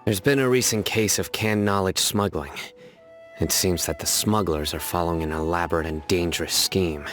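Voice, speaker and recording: A man's voice: sits low, moderate pace, fairly bright tone, soft background music. A man voicing a stern, serious male judge in a video game, speaking in a terse, matter-of-fact way.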